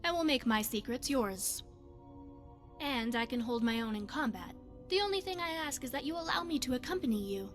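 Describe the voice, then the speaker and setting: A woman playing a poised, secretive spy devoted to her goddess in a video game, speaking in formal, measured phrases. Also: high-pitched, lively intonation, faint music behind. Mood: devout, persuasive. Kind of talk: scripted video game character dialogue.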